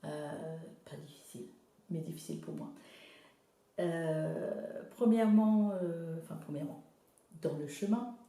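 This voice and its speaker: A woman from Zimbabwe whose first language is English, an art therapist speaking hesitantly in a casual interview, often pausing to search for words. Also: low voice, warm tone, heavy reverb. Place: studio workshop.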